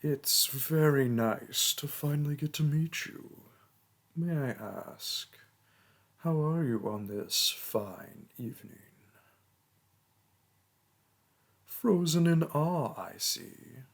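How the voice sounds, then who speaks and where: Man acting out a slow, husky, pompous mage king. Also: a little high, expressive, heavily acted. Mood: haughty, mock-gracious. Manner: pompous, theatrical, condescending. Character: pompous, vain mage king, haughty toward his peasant subject. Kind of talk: theatrical roleplay monologue and storytelling.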